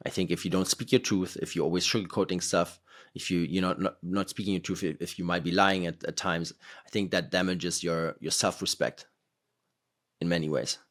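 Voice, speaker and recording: Podcast host, man, extremely low voice, loose and rambling, filler-heavy casual talk. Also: steady tone, fairly quick, a bit bright. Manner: rambling, filler-heavy, laughs between points. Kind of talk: casual conversation.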